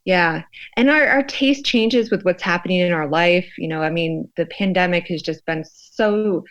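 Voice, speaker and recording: A woman's voice: on the low side, normal speed, fairly bright tone, lo-fi sound. An American woman talking conversationally as an expert interview guest, feeling her way thoughtfully through her phrasing. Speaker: expert guest, sociologist researching fear.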